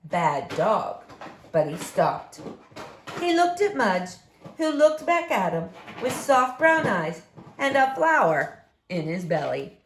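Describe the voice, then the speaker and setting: A woman's voice: high voice, big pitch swings, slow, slight background noise. A woman reading a picture book aloud expressively to young listeners. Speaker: story reader for children. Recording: echoey room.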